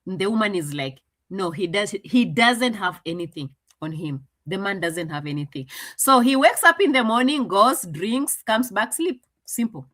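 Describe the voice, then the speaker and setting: Chatty woman commentator, bright and lively delivery. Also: mid-range voice, normal speed, hardly any pauses. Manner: chatty, translating and mocking, laughs often. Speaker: commentator reacting to a clip. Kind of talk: casual commentary.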